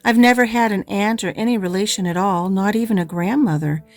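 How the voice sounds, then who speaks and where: A woman's voice: medium pitch, fairly quick, no echo, soft background music, lightly acted. A woman voicing an eager, imaginative and talkative orphan girl, pleading and effusive, in an audiobook reading.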